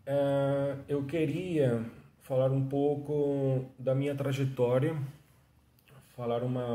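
A man's voice: medium pitch, mellow. A man in his thirties from Venezuela, speaking with a Venezuelan Spanish accent as a second-language speaker, telling his life story in a casual, chatty monologue with many restarts and tag questions. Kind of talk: casual self-interview monologue. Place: small room at home, indoors. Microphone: phone camera at arm's length. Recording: echoey room.

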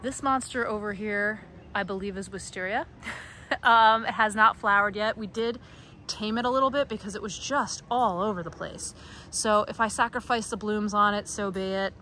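A woman's voice: mid-range voice, moderate pace, bright, forceful tone, a little hiss or hum. A middle-aged American woman giving a casual, enthusiastic walking tour of her backyard garden, chatting with lots of fillers, recorded outdoors on a phone. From United States. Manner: chatty, enthusiastic, frequent fillers and small laughs. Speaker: garden blogger.

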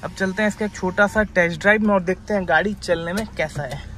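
A man's voice: high voice, quick pace, bright, forceful tone, faint music behind. A man giving an unscripted car sales walk-around, talking persuasively and dropping in English terms. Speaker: used-car dealer presenting a car. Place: outdoors by a roadside lot and inside a car. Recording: clear outdoor ambient and vehicle noise.

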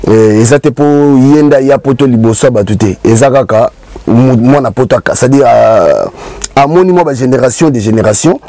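A man in his thirties hosting a casual talk in a small indoor studio, speaking in a relaxed, halting way with many fillers. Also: mid-range voice, dry recording. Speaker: host.

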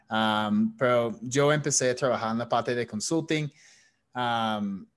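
A man around forty with a medium-pitched voice and an Australian accent. He is a native English speaker working in a second language, so he talks with some hesitation. His style is that of a casual podcast guest on a close desk microphone. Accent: Australian-accented Spanish. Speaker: podcast guest, author and sales consultant. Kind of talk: casual interview talk. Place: indoor home office, on a video call. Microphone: desktop studio microphone close to the mouth.

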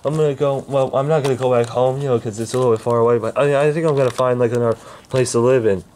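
A man's voice: mid-range voice, fairly even intonation, racing, a little mellow, slight background noise, close to an everyday voice. A man voicing a hesitant, remorseful young ex-boyfriend who mumbles and stumbles over his words. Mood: uneasy. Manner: hesitant, mumbling, many restarts.

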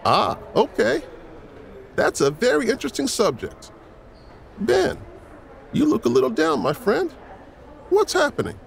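An actor playing a kindly, upbeat church class teacher in an audio drama, friendly and encouraging. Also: male voice, extremely high pitch, wildly swooping pitch, a little slow, gravelly, long pauses, slight background noise, exaggerated voice.

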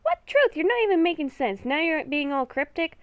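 A woman's voice: sits high, very animated, moderate pace, dry recording, voice pushed up. A woman playing a stubborn, exasperated young former werewolf in an improvised game roleplay, whiny, emphatic and argumentative. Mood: annoyed, confused.

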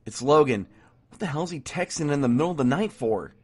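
A man's voice: a little high, lively intonation, brisk, husky. A man playing an evasive, flustered college student in an audio drama. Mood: evasive, hurried. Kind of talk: podcast audio drama acting.

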